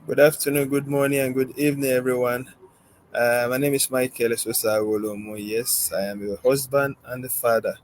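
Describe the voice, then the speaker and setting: A man's voice: mid-range voice, fairly even intonation, brisk, slight background noise, poor audio. A man from Nigeria, giving a hesitant self-introduction full of "uh"s.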